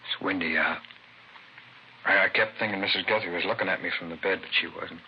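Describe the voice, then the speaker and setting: A man with an American accent playing a weary, aggrieved killer confessing to police in a halting mumble, in the style of 1950s radio crime drama. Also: low-pitched, fairly even intonation, creaky. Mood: haunted, defensive. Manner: halting, mumbling, self-justifying. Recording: muffled, hissy vintage radio broadcast recording.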